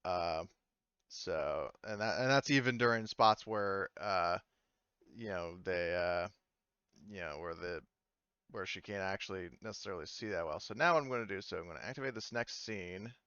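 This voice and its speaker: A man runs a tabletop roleplaying game with a bright, clean voice pitched a bit low. He narrates loosely and haltingly, like someone chatting with friends. The sound is close and dry, slightly dull. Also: smooth, dry recording. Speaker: game master.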